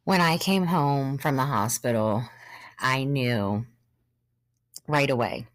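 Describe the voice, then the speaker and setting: A woman in her thirties, a podcast host sharing her own story in an earnest, measured way, sometimes short of breath and pausing. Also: extremely low pitch, no echo, studio quality. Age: 30s. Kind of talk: personal testimony monologue.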